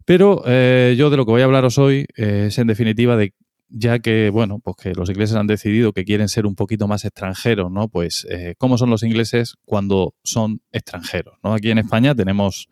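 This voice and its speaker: A man's voice: lowish voice, fairly quick, a little mellow, clean tone, dry recording, clean studio sound. A man with a Spain Spanish accent co-hosting a podcast, explaining a topic hesitantly with many fillers and restarts. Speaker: podcast co-host presenting a section. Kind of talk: casual explanatory podcast talk.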